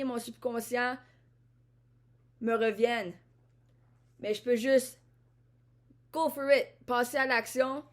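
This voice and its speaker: A woman from Ottawa talks to the camera in a rambling, introspective vlog monologue, often repeating and restarting her phrases. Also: a little high. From Ottawa, Canada. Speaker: vlogger.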